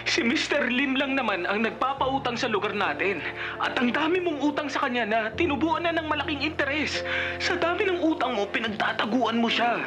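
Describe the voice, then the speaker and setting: A man's voice: very high voice, animated, normal speed. Street-wise male pal, exasperated, nagging, Filipino accent, radio drama over music.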